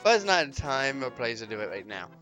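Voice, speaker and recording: A man giving casual, jokey commentary on a video game race and reacting loudly to what happens on screen. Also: mid-range voice, very animated, quick pace, over music. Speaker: speedrun commentator.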